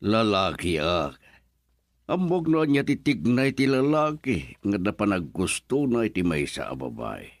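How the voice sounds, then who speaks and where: Deep, slow-talking Filipino father. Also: huge pitch swings, no room sound, studio quality, exaggerated voice. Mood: concerned. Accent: Filipino, Ilocano. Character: caring, observant father of a grown daughter. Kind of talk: Ilocano radio drama acting.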